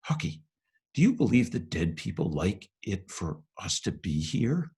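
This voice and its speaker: A man voicing a nervous young boy in a storybook, speaking in hushed, urgent, folksy dialect. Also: deep voice, big pitch swings, unhurried, heavily acted. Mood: uneasy.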